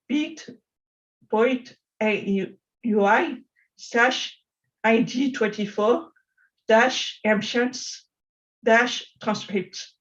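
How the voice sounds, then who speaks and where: Deaf French woman, conference speaker, slow careful delivery, slightly dull audio. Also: a little high. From France. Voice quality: deaf speech. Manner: slow, careful speech with pauses. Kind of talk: prepared conference talk.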